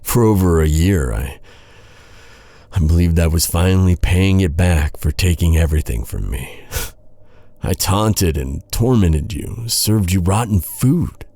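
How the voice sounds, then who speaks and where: A man playing a guilt-ridden, devoted knight in a dark fantasy audio roleplay, speaking in an earnest, dramatic, halting way. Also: low-pitched, animated, no room sound, full character voice. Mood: bitter, pained. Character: brooding, guilt-ridden male knight, bitter yet tenderly devoted.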